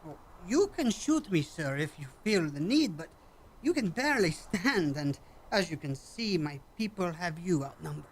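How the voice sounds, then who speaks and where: An actor in a sci-fi radio play voicing a calm, formal alien hunter from a cave-dwelling village, with measured, stilted phrasing. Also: medium pitch, a little hiss or hum, poor audio. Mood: grave, calm.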